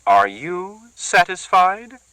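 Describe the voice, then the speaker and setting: A man voicing a loud, sarcastic woman who needles everyone with sour jabs. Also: sing-song, fairly quick, dry recording, poor audio. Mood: sneering. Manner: shrill, jabbing, sour sarcasm.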